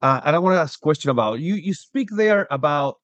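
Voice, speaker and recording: A man's voice: fairly high pitch, lively intonation, fast, a bit bright, no room sound, muffled recording. A man from Argentina hosting an interview, asking long questions with frequent restarts and "right?" tags. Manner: searching for words, restarts, rising "right?" tags. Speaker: podcast host.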